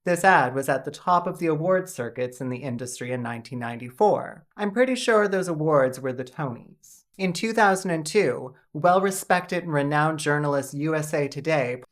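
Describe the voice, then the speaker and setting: A woman's voice: low-pitched, normal speed, hardly any pauses. A Canadian woman hosting a scripted comedic video essay with dry, mock-dramatic delivery. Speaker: video essayist and channel host.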